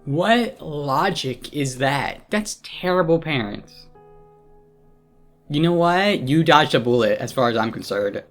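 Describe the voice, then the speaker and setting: A man's voice: fairly high pitch, expressive, slow, a bit bright. A man reacting casually to a video game as a streamer, informal and given to mild swearing. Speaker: gaming streamer. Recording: game music behind commentary.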